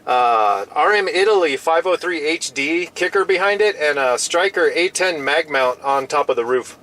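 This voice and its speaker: A man with a fairly high voice chats amiably from inside his parked truck as a mobile radio operator. He uses casual radio lingo and tends to double up his phrases. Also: lively intonation, normal speed, fairly bright tone, low-quality recording. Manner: friendly, chatty, often repeats himself. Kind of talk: casual radio contact chat, using operator jargon.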